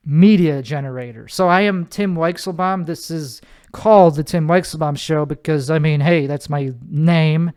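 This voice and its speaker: A man with a nasal, slightly high voice talks through his solo podcast in a loose, rambling way, often stopping mid-sentence to correct himself. His tone is smooth and clear, and he speaks from his bedroom. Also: darkish tone. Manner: rambling, self-correcting, many fillers. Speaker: podcast host. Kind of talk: casual solo podcast monologue.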